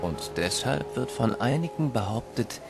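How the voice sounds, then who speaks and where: An actor playing a reflective storyteller, narrating an audio drama in a measured, literary way. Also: male voice, mid-range voice, animated, creaky, over music. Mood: storytelling. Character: wistful male storyteller recalling his boyhood.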